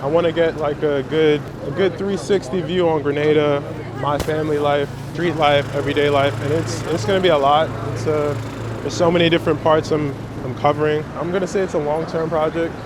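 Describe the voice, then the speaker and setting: A man's voice: on the high side, fairly even intonation, brisk. A man, giving casual, reflective and slangy interview answers as a photographer. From Brooklyn, New York. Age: 20s. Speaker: street photographer being interviewed. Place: outdoors on a city street. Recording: noisy outdoor city street with traffic. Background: urban street ambience, vehicles.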